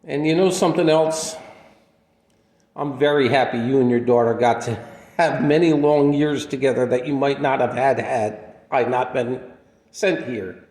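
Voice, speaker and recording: A man's voice: medium pitch, moderate pace, a little mellow, natural voice. A man playing a kindly, lonely wandering healer in a radio play, speaking in an earnest, folksy, reflective way. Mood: wistful, grateful. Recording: echoey room with long reverb.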